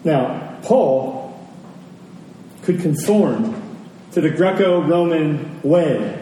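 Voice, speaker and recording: A man preaching a storytelling sermon to a congregation, deliberate, with dramatic pauses and emphasis. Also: fairly high pitch, animated, mellow. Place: large indoor church space. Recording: very echoey hall, distant mic, faint noise.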